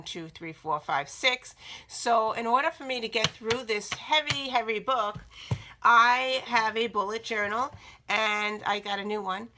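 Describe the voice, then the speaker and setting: A middle-aged woman, slightly raspy from a cold, chatting casually to camera about her books and journals, rambling with many ums and restarts. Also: medium pitch, bright, forceful tone. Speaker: book vlogger. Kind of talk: casual chat showing items to camera.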